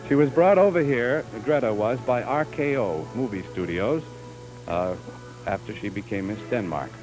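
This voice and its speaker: Jovial 1950s TV host, chuckling. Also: male voice, mid-range voice, lively intonation, normal speed, music in the background, rough recording. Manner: jovial, chuckling, loose and unscripted. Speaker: TV show host. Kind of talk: live TV beauty show hosting. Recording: old 1950s TV broadcast sound.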